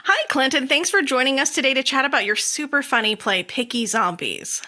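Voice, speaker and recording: A woman from the United States hosting a podcast, with an upbeat, animated way of talking. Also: high-pitched, a little fast. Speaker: podcast host. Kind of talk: scripted introduction and chatty interview.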